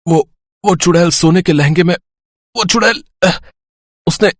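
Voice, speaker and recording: A man voicing a betrayed, dying friend, gasping and halting in pain. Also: high voice, no room sound, clean studio sound. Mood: agonized. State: pained, gasping.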